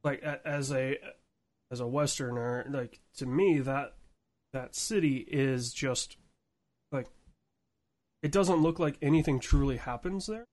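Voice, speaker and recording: Male podcast host, mid-range voice, informal and chatty, halting as he frames questions. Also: mid-range voice, darkish tone. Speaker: podcast interviewer. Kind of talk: casual chat.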